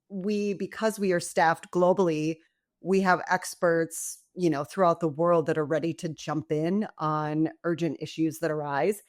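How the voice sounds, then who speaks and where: A woman's voice: low voice, a little mellow. A woman guest on a podcast, explaining her support team's work in a conversational interview style.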